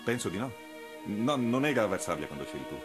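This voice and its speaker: A weary revolutionary man, defensive with his lover. His voice sits slightly low and moves quickly, in radio-drama style. Music runs clearly underneath. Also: sing-song. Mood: evasive. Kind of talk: theatrical radio drama dialogue.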